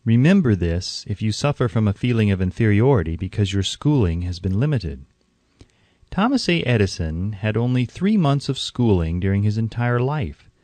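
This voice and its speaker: A man reading scripted audiobook narration in a formal, measured and emphatic way. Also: lowish voice, big pitch swings, darkish tone, dry recording.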